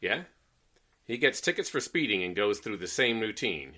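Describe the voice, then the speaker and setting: A man playing a brisk, skeptical, wry newspaper reporter in an old-time style radio play. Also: on the low side, very animated, pushed and bright, heavily acted. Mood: wry, dismissive.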